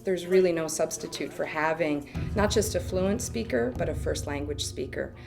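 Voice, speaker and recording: Woman academic from the Midwest, measured documentary interview tone, soft music underneath. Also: deep voice, gravelly. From Midwest United States. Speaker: anthropology professor.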